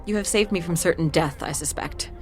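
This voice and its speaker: Casting: actress as dignified, grateful fantasy noblewoman, low register, formal and solemn, music underneath. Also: fairly quick, close to an everyday voice. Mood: solemn gratitude. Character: grateful, dignified wronged noblewoman, just freed. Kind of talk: audio drama acting.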